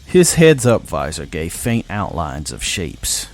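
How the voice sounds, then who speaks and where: A man with a fairly deep voice narrates scripted science fiction. His reading is dramatic, as a storyteller's would be. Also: wildly swooping pitch, takes it slowly, soft background music.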